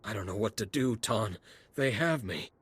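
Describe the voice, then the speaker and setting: A man voicing a defeated, self-deprecating outlaw in a video game, with a husky, rough voice. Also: fairly low pitch, animated, normal speed. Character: despairing male outlaw, humbled thief hero.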